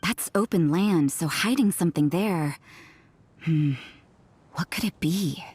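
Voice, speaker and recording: A woman voicing a cool, stern young nun in a video game, curt, deadpan and composed. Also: on the low side, unhurried, husky, soft background music. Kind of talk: English video game voice acting.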